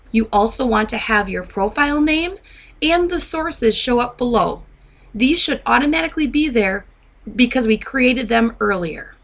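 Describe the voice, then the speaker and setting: A woman narrating a step-by-step software tutorial as a trainer, in a methodical, instructional way. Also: on the high side.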